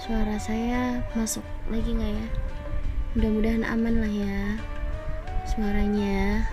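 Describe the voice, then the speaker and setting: A woman's voice: fairly high pitch, level tone, a little slow, over music. A woman with an Indonesian accent giving casual live commentary as she streams a game. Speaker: game livestreamer. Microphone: microphone about 15 to 20 cm away.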